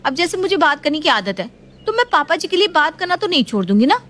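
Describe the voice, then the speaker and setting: Young daughter-in-law, high voice, naive chatterbox with a childlike streak, put on by a woman storyteller. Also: very animated, fast, a bit bright. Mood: puzzled, protesting. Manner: questioning, talkative.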